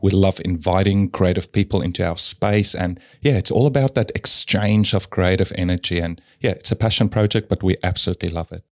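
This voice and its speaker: A man's voice: sits low, brisk, few pauses, no room sound, studio quality. A man from South Africa, a native Afrikaans speaker with an Afrikaans South African accent, talking easily and at length as a gallery curator in an interview. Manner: easygoing, explains at length, fills with "yeah". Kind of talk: relaxed conversational interview.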